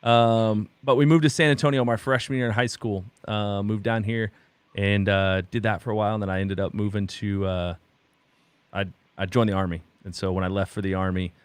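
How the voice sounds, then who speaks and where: A man in his thirties from Oklahoma, a pastor talking in a halting, reflective way with many restarts during a candid conversation. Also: lowish voice, fairly even intonation, clean tone, no room sound. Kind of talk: candid conversation on a video call.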